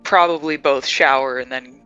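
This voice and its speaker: A player voicing a sardonic male vampire in a tabletop game, joking with a quick wit. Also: medium pitch, fairly even intonation, bright, forceful tone, smooth, faint music behind, natural voice. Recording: dull lo-fi sound.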